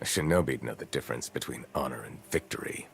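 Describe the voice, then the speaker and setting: A proud samurai warlord, speaks in an extremely deep voice with cold, formal menace. Prominent music plays behind this game villain. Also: male voice, quick pace, gravelly. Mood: cold, menacing. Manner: haughty, formal. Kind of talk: English-dubbed samurai game drama.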